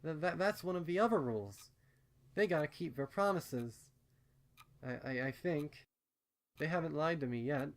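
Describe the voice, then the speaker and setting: A man's voice: on the high side, animated, normal speed, pauses often, voice pushed up. A man voicing a nervous, rambling young female pony with a hesitant, stammering, earnest manner in a fan fiction story. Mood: earnest, insistent. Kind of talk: acted fan fiction reading.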